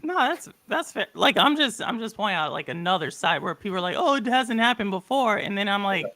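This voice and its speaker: A male host with a high, animated voice, arguing his point in a casual chat. He hesitates and restarts phrases, and the sound is dry and close. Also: brisk, no room sound.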